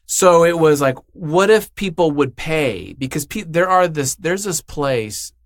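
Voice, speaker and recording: A man's voice: on the high side, lively intonation, a little slow, no room sound, clean studio sound. A man chatting casually as an interview guest, telling things in a rambling way and acting out imagined quotes. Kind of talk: casual chat.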